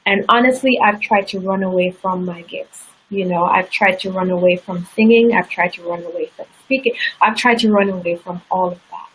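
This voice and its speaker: A woman's voice: fairly low pitch, fairly even intonation, a little fast, hardly any pauses, a little hiss or hum, rough recording. A woman guest in an interview, telling a personal story in a candid, earnest way. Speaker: interview guest, success coach.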